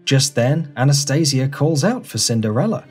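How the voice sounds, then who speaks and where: Animated storybook narrator. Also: mid-range voice, moderate pace, faint music behind. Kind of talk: scripted children's storybook narration.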